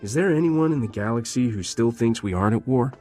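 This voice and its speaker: An actor playing a cocky, wisecracking smuggler captain in a video game. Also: male voice, medium pitch, expressive, fast, a little mellow, soft background music, lightly acted.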